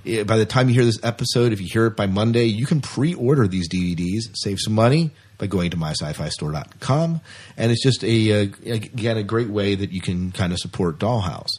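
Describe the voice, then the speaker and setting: This is a man with a lowish, husky voice, co-hosting a podcast. He chats casually and talkatively through entertainment news, often repeating a phrase to drive it home. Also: brisk, a little mellow. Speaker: podcast co-host.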